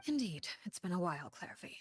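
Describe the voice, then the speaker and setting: A woman playing a stern, commanding head of a household in a video game, speaking in measured, formal phrases. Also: lowish voice, sing-song, husky, soft background music. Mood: cold, stern. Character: cold, commanding adult woman ruling an orphan house as "Father".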